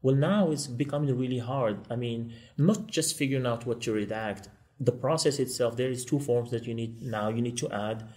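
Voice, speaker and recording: A man from Morocco, a law school guest, speaking quickly and earnestly in a podcast interview. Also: medium pitch, darkish tone.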